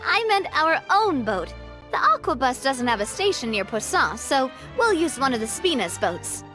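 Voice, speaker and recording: A spirited young woman leader from a video game, speaking in a high, animated voice. She sounds earnest, breezy and friendly, with music playing behind her. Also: normal speed, full character voice. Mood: upbeat.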